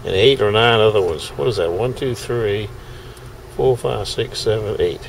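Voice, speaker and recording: A man's voice: on the low side, normal speed, vocal fry, a little hiss or hum, low-quality recording. A middle-aged man, chatting folksily in a casual video diary from his car and home, recorded on a handheld camera. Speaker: knife sharpener vlogger.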